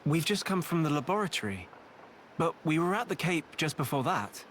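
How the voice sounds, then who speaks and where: A man's voice: fairly high pitch, sing-song, a little fast, fairly bright tone, slight background noise. A man playing a friendly, straightforward young hero in a video game, speaking plainly and directly. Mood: reassuring. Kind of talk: video game cutscene acting.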